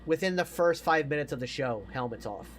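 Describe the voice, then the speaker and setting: A man's voice: on the high side, animated, fairly quick, slight background noise. A man chatting casually as a podcast host, profane and opinionated.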